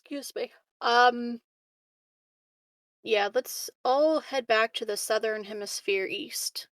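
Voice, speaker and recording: A woman's voice: on the high side, takes it slowly, dry recording. A woman chatting casually as a player in a tabletop role-playing game.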